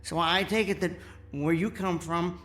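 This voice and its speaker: A man playing an excitable, kindly amateur inventor in a radio drama, stammering and folksy. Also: a little high, moderate pace, soft background music, lightly acted. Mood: earnest. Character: excitable, kindly amateur inventor, male former teleportation engineer.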